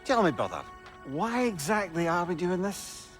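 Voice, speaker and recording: An actor playing a witty, chatty old sage in a video game, with a Scottish accent and a wry, sardonic manner. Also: male voice, sits high, sing-song, normal speed, faint music behind. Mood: wry, exasperated. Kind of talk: cinematic video game acting.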